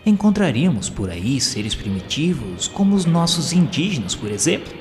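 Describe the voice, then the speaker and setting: A man's voice: on the high side, very animated, normal speed. A man with a Brazilian accent narrates a scripted audio novel in a solemn, formal literary manner. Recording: long reverb over music. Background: music.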